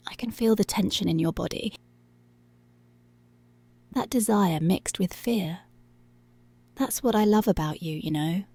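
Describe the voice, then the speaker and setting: Seductive, controlling policewoman played by a woman for ASMR roleplay: mellow, creaky, half-whispered, teasing, close-miked. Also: medium pitch, measured pace, pauses often, dry recording. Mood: intimate, commanding. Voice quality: breathy, often slipping into whisper. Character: dominant, commanding, seductive policewoman. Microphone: close microphone.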